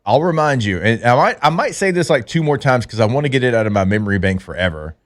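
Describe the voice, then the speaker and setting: A man hosting casual sports radio talk, animated and quick to exclaim. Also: medium pitch. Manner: animated, laughs easily, exclaims often.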